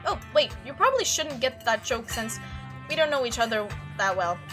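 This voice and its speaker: A woman's voice: on the high side, big pitch swings, moderate pace, over music. A woman voicing a chatty, teasing young small-town woman in a visual novel, with a playful, folksy, rambling manner. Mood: joking. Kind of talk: visual novel character read aloud.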